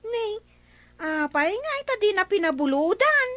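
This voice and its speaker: A woman's voice: very high-pitched, sing-song, normal speed, sharp tone, dry recording, exaggerated voice. An actress playing a sassy, blunt village woman in an Ilocano radio comedy drama. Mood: gossipy. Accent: Filipino, Ilocano.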